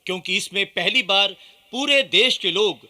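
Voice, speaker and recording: A proud Indian nationalist leader declaims a formal speech in a radio drama. His voice is high and very bright, earnest and rousing. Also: normal speed. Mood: proud, hopeful. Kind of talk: Hindi radio play oratory.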